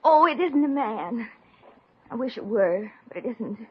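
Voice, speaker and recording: A woman's voice: fairly high pitch, lively intonation, measured pace, husky, heavily acted. An actress playing a shy, apologetic, timid young woman in a 1950s radio comedy. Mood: embarrassed, timid. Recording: dull old radio recording.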